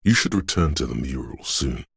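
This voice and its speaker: Brooding city spirit for a game, super low gravelly voice, slow ominous poetic narration, really husky. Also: male voice, expressive, dry recording, studio quality, heavily acted. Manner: ominous, solemn, measured, hushed. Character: Shivers, brooding omniscient spirit voice of a windswept city.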